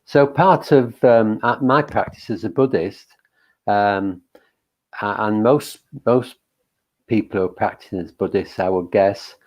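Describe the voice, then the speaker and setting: A man with a very deep voice leading a meditation, giving a gentle, halting Buddhist talk full of pauses and fillers. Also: dull audio. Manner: gentle, halting, thinking aloud with many fillers. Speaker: meditation leader. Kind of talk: reflective Buddhist dharma talk.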